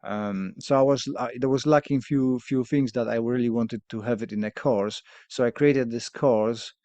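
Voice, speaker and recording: A man's voice: mid-range voice, fairly even intonation, normal speed, no room sound. Polish-accented man, thoughtful and hesitant. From Poland. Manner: thoughtful, hesitant, with fillers and soft laughs. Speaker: podcast host. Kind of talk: candid casual conversation.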